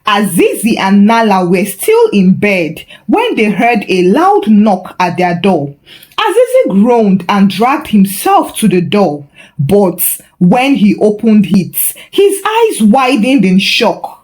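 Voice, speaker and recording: A woman's voice: mid-range voice, sing-song, slow, darkish tone. A woman storyteller reading a scripted folktale narration.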